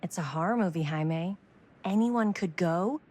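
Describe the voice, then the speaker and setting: An actress playing a wry, driven young amateur filmmaker with an American accent in a video game, teasing and emphatic. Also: female voice, on the low side, a little slow, darkish tone. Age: young adult. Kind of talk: naturalistic video game acting.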